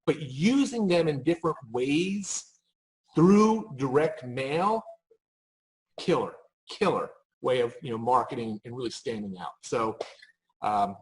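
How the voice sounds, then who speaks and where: A man's voice: mid-range voice, expressive, measured pace, a little mellow, low-quality recording. A man speaking off the cuff as a marketing expert in a panel conversation, emphatic and anecdotal, often restarting his phrases.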